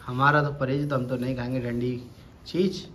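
A man's voice: mid-range voice, level tone, moderate pace, a bit bright. A man in his thirties giving a chatty home cooking demonstration to viewers in a tiled kitchen, filmed on a phone held close. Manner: chatty, addresses viewers as friends. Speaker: cooking vlogger. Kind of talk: casual home cooking demo. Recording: roomy tiled kitchen, faint background noise.

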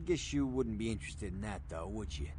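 An actor as a terse American street fixer. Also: male voice, lowish voice, animated, a little fast, mellow, lightly acted. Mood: matter-of-fact, guarded. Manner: terse, matter-of-fact. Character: street-wise, world-weary underworld fixer and gun dealer.

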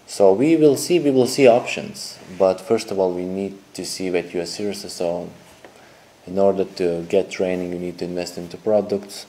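A young man, speaking in a very low voice. He pitches his coaching to a camera in an indoor room, halting, repeating phrases and using wording. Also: mellow. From Lithuania. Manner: halting, repetitive, non-native phrasing. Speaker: dating coach. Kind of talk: direct-to-camera sales pitch. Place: indoor room with a TV screen behind.

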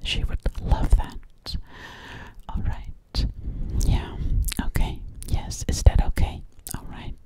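A woman's voice: slow pace, dark tone, close to an everyday voice. A woman playing a sweet, slightly sassy alien girl in an ASMR roleplay, whispering breathily close to the microphone and repeating her words soothingly. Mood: sweet, pleading. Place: dim living room indoors. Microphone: close-up at a large ASMR microphone.

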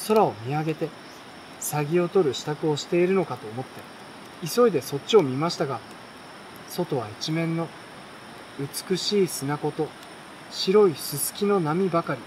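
A young adult man reading a bedtime story aloud in a relaxed, unhurried way, with pauses between short phrases. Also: fairly high pitch, noisy background. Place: outdoors at night beside a campfire. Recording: campfire, insects and stream behind voice.

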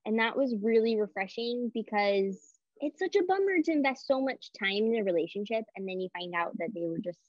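A young woman guesting on a podcast over a video call, her voice thickened by a cold, expressive and laughing easily. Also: on the high side, a little fast, few pauses, dry recording. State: under the weather, voice thickened. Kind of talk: casual interview chat. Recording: dull lo-fi call audio.

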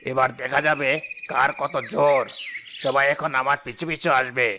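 A man's voice: mid-range voice, level tone, normal speed, noisy background, lightly acted. A man playing a proud village headman in a moral cartoon. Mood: angry, vengeful. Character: proud, vengeful village headman, later humbled and remorseful. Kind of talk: Bengali moral cartoon dialogue. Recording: music behind the voice.

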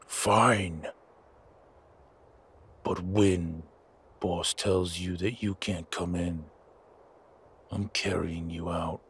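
A man playing a slow-witted, menacing henchman guarding his boss in an audio roleplay, with a gruff, raspy voice and blunt, halting speech. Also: sits low, fairly even intonation, heavily acted. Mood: irritated. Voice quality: raspy, gravelly.

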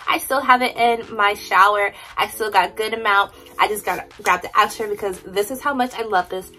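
A woman's voice: mid-range voice, a little fast, pushed and bright, faint music behind, low-quality recording. A young woman in her twenties talking casually through a shopping haul at home, upbeat and chatty with plenty of ums and likes. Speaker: vlogger. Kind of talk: casual product haul chat. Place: indoors in a home room.

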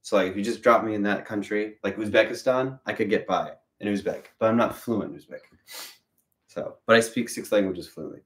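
A man's voice: on the low side, fairly quick, echoey room. A young man chatting casually in a bedroom, hesitant and full of fillers. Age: young adult. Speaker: vlog guest. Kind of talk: casual chat. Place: bedroom, indoors.

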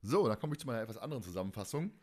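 A man's voice: lowish voice, big pitch swings, rapid-fire, a little mellow. A man co-hosting a fan podcast, chatting casually in a colloquial, joking way. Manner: colloquial, jokey. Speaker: podcast co-host.

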